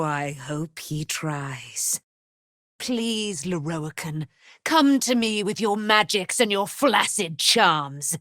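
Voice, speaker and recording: A woman's voice: on the low side, a bit bright, no room sound, clean studio sound. A woman playing a proud, righteous immortal warrior, daughter of a moon goddess, with grand, archaic, declamatory diction in a fantasy video game. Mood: scornful.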